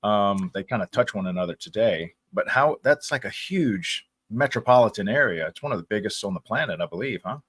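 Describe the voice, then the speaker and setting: A man's voice: lowish voice, lively intonation, a little fast, few pauses, fairly bright tone, breathy edge, no room sound. A man hosting an online interview show over a remote call, talking casually and rambling between questions. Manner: chatty, rambling, friendly questions. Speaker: podcast host. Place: home in New Orleans.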